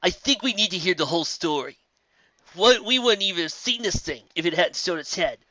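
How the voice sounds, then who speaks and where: A man's voice: high-pitched, lively intonation, fairly quick, pushed and bright, dry recording, voice pushed up. A man voicing a blunt, brash young female pony who demands answers. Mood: demanding. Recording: dull lo-fi audio.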